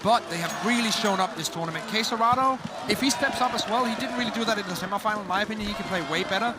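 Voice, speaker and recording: Danish-accented male caster, high-ish and quick, eager breakdowns. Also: over a noisy crowd, heavy background noise. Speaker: esports caster. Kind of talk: esports commentary.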